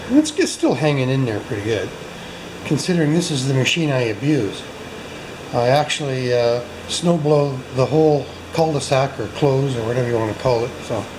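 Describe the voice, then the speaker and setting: A man's voice: a little high, background noise. A man hosting a workshop video, chatting casually and joking with viewers while he works in a garage. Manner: chatty, self-mocking, talks to viewers while working.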